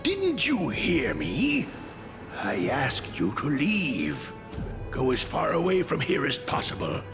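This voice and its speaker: An imperious zealot priest from a video game. He speaks slowly and scornfully, in a fairly high male voice that swings widely in pitch. Music plays behind him. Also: huge pitch swings, exaggerated voice. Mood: stern, irritated. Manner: imperious, contemptuous. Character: haughty pious zealot priest, scornful man. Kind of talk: dramatic game voice acting.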